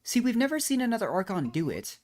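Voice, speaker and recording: A woman giving relaxed, musing, casual commentary as she streams a game. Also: mid-range voice, fast talker. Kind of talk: casual reactive gameplay commentary. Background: game music and dialogue.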